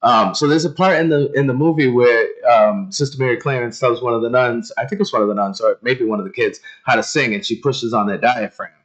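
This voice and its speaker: A man's voice: mid-range voice, a little fast, few pauses. A man hosting a casual interview, chatty and encouraging, often rephrasing his guest's answers. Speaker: interview host.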